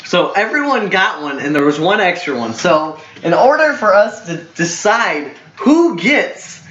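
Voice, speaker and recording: A young man in his twenties tells a rambling story, restarting and correcting himself as he goes. His voice sits a little high. The recording is roomy and dull, like a cheap home setup. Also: big pitch swings, measured pace, a bit bright. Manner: rambling, full of fillers and self-corrections. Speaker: storyteller host. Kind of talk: casual storytelling chat.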